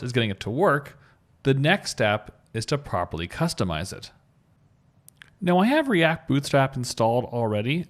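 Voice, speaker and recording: A man giving an informal screencast tutorial as an instructor, thinking aloud as he talks through each step. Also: mid-range voice. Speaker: web coding instructor.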